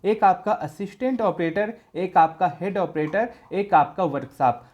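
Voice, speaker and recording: A man's voice: high-pitched, a little mellow. A man hosting an informational explainer video for exam candidates, going through things step by step and often repeating himself. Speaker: exam update video host.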